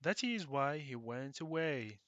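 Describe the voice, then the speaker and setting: A man's voice: medium pitch, animated, measured pace, lo-fi sound. A man narrating a children's bedtime story read aloud.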